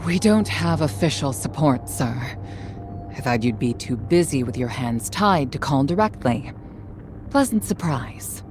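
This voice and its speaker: Dry dutiful soldier in a game, low voice, matter of fact, music behind. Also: female voice, animated, unhurried. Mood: wry. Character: dry, dutiful military woman reporting to her commander. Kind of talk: video game cutscene acting.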